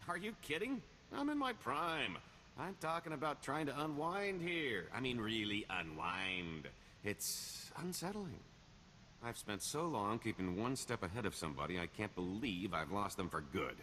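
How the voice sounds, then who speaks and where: A man's voice: medium pitch, sing-song, normal speed, sharp tone, a little hiss or hum. A man playing a roguish middle-aged career criminal in a video game, wry and boastful, with a wheedling, theatrical sarcasm. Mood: wry, weary.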